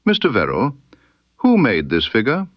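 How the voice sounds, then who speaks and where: A man voicing a keen, composed detective in an audiobook story, with precise, deliberate and quietly probing speech. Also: medium pitch, wildly swooping pitch, dry recording, muffled recording, voice pushed up. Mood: pleasantly probing. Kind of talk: audiobook character dialogue.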